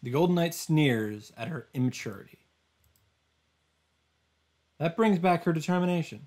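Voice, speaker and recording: A man hosting visual novel highlights, reading the story narration aloud in a dramatic way with some commentary. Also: animated, normal speed, a little mellow.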